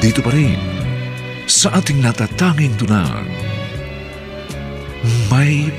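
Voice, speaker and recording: A man's voice: medium pitch, sing-song. Theatrical Filipino male announcer over music. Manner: florid, drawn-out dramatic delivery. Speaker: radio drama narrator and announcer. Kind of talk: solemn, theatrical scripted narration.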